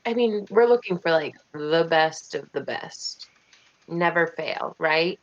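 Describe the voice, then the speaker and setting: A woman's voice: low-pitched, animated, takes it slowly, dull audio. Hesitant woman probing for clues. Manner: hesitant, fishing for clues with fillers. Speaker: player. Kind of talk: casual tabletop game play. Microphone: video call mic.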